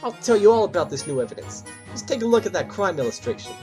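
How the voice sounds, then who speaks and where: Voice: medium pitch, big pitch swings, fast, dark tone, faint music behind, lightly acted. A performer playing a cocky, eager young male wolf pup witness in an audio drama, brash and casual. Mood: cocky, excited. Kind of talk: courtroom audio drama acting.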